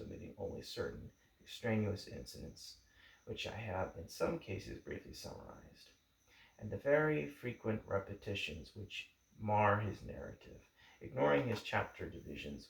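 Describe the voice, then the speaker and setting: A man reading a book introduction aloud in a deliberate, somewhat halting manner, as an amateur audiobook narrator. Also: lowish voice, gravelly. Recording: roomy, echoing home recording.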